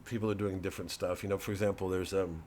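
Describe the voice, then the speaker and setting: A man who is a comedian, talking casually to his audience in a halting, digressive monologue with repeated words. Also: low voice, flat delivery, a little mellow, a little hiss or hum. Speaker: comedian, host.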